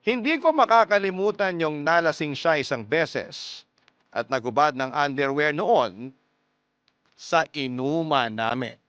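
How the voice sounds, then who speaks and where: A man's voice: fairly high pitch, lively intonation, unhurried, fairly bright tone, smooth, no echo, muffled recording. A man reading a first-person love story as scripted radio-style narration. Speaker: storyteller reading a listener's letter. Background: prominent music bed.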